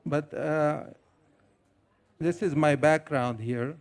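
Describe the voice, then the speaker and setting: A man's voice: a little high, takes it slowly. A middle-aged man from Lebanon speaking as a conference panelist, hesitant with many fillers. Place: conference hall.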